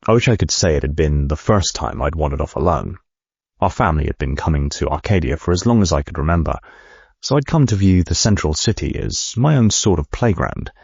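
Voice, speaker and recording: Give a very deep, husky corporate boss reading his memoir like an audiobook. He speaks in a measured, reflective way. The sound is close, dry and a little dull. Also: expressive, darkish tone, no room sound, full character voice. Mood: wistful. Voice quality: gravelly, husky. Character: adult male corporate CEO reading his own autobiography. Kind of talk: scripted audiobook narration.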